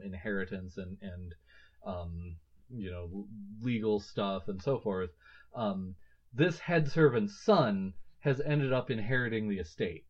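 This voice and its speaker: A man in his thirties hosting a casual book-discussion podcast, thinking aloud with many fillers and restarts. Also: medium pitch. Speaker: podcast host. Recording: slightly muffled lo-fi audio.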